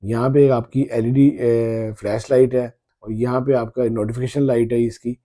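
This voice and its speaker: Very mellow, dark-voiced man. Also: mid-range voice, level tone. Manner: halting, repeats phrases. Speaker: tech review vlogger. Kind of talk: casual phone unboxing commentary.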